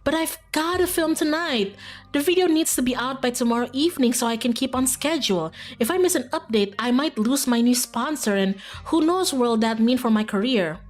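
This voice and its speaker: A woman's voice: high voice, lively intonation, a little fast, voice pushed up. A woman voicing an eager, determined young female video maker who hunts monsters, earnest and insistent, in a game. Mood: pleading.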